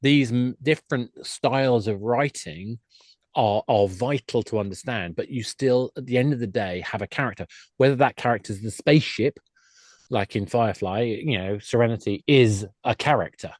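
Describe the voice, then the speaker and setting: A man's voice: mid-range voice, normal speed, no echo, studio quality. A man speaking as an expert guest in a discussion, explaining his points in a discursive way. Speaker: guest, games industry expert. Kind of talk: discussion of game storytelling.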